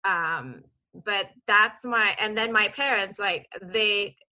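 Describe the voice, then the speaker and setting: Heldere, levendig vertellende vrouw uit Koeweit. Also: female voice, medium pitch, slow, poor audio. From Kuwait. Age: 30s. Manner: animated, laughs often, many restarts and fillers. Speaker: podcast guest. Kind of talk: casual storytelling.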